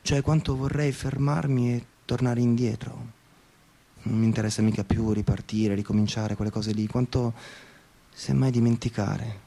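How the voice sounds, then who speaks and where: A man's voice: mid-range voice, fairly even intonation, moderate pace, creaky, dark tone. An actor playing a jaded, coked-up professional man narrating his restless night in a confessional, cynical radio theatre monologue. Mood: weary longing.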